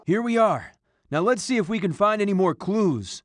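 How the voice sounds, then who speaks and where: A woman's voice: mid-range voice, lively intonation, normal speed, natural voice. A woman voicing a bossy, spirited young team member in a cartoon game, speaking emphatically. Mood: eager. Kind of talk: cartoon video game voice acting.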